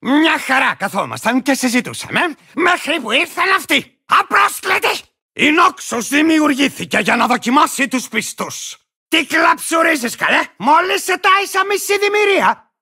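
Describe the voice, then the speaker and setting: A war-crazed little creature from a video game, boastful and hot-tempered. The voice is piercingly bright and full of gravel, swinging wildly up and down. It rants and barks like a pompous, unhinged commander. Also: male voice, big pitch swings, heavily acted. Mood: pompous, indignant. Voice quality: gravelly, shrill, cracked. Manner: ranting, pompous, barking, bursting into cackles. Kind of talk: cartoonish video game character acting.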